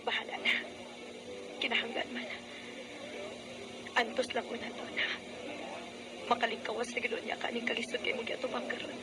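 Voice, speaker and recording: A woman's voice: a little high, level tone, normal speed, long pauses, close to an everyday voice. An actress playing a struggling young single mother in an emotive Cebuano Filipino radio drama, earnest and tender. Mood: weary, resigned. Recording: very noisy radio broadcast recording.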